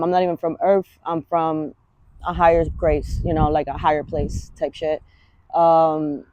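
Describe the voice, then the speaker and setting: A woman's voice: sits low, warm tone, no echo, highs cut off. A woman, a fitness coach talking candidly in a rambling, casual vlog and swearing freely. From Washington Heights, New York. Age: 30s.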